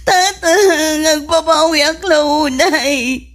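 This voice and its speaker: A woman's voice: sits high, fairly even intonation, very slow. A woman playing a boastful, whiny young pregnant woman in an Ilocano comedy radio drama, with an Ilocano accent. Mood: tearful, pleading. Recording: music bed behind the voice.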